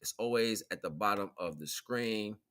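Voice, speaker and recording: Low voiced american guy just chatting on stream, slangy, laid back musician type. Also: moderate pace, fairly bright tone, clear, resonant tone. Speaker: streamer and musician presenting own song. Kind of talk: casual livestream chat.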